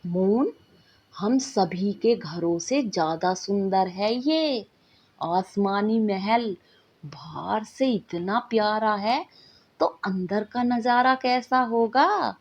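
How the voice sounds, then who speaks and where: A woman's voice: mid-range voice, very slow, slightly rough, dull audio, full character voice. A woman voicing a dreamy, bossy young girl from a magical world in a bedtime story, earnest and dramatic. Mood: wondering.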